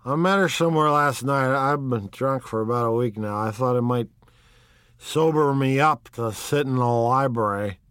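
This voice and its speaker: A man voicing a stout, middle-aged drunk man who talks impetuously and excitedly, rambling on in a storybook reading. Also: mid-range voice, normal speed, clean tone, dry recording, studio quality, close to an everyday voice. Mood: tipsy chatter.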